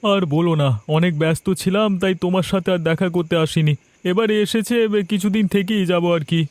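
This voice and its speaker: High, quick-talking scheming younger brother played by a man. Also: steady tone, darkish tone, no room sound, close to an everyday voice. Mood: casual. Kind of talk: animated moral story voice acting.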